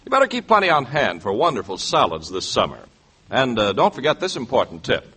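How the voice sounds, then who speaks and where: Expressive 1940s American radio announcer, slightly husky man. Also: medium pitch. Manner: polished salesman delivery with deliberate emphasis. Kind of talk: 1940s radio advertising, scripted. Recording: vintage 1940s radio broadcast recording.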